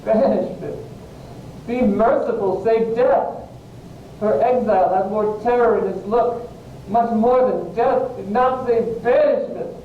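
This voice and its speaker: In a very echoey room, away from the mic, a man plays an anguished young lover from a Shakespeare play. His voice is fairly high and dark, pouring out impassioned verse in a stage-drama reading. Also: measured pace, close to an everyday voice. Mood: anguished, protesting. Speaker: amateur actor, English teacher. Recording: echoey room, muffled, distant mic, faint hiss.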